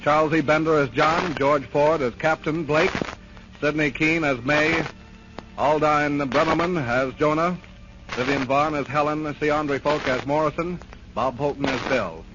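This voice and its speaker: A man announcing on radio in a formal, measured, scripted 1930s style. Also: on the high side, steady tone. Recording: noisy, dull 1930s radio recording.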